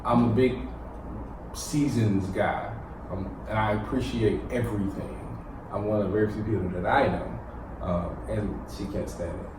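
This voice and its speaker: A man with a lowish voice hosts a casual roundtable chat, loose and animated, fillers between thoughts. He sits far from the mic in a very echoey room with steady noise under him. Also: normal speed. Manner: animated, talks through laughs, many fillers. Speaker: host of a couples roundtable. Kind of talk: casual group discussion. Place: indoor room with a group around a table.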